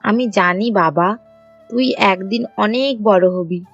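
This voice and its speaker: A woman playing a caring, firm but forgiving mother of a teenage son in an audio story, gently chiding and encouraging. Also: mid-range voice, slow, no room sound, dull audio, faint music behind. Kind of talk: Bengali audio story acting.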